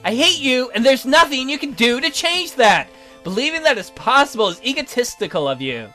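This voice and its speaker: A man acts out a resentful young prisoner stuck in a boy's body. The voice is pitched high and swings widely as it accuses and complains in a theatrical, sarcastic way, with game music behind it. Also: very animated, brisk, sharp tone, full character voice. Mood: bitter. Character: bitter imprisoned youth stuck in a child's body, sarcastic, resentful. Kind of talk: visual novel character read-aloud.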